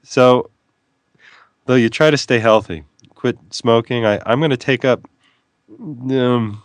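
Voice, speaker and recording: A man's voice: medium pitch, slow pace, no room sound. A man who is an author, talking as a guest in a casual radio interview, playful and wry, wandering off on tangents.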